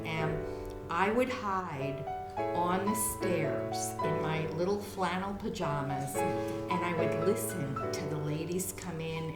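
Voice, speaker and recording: A middle-aged woman telling a personal childhood memory in conversational interview style. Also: lowish voice. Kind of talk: personal storytelling. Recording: roomy, loud music underneath.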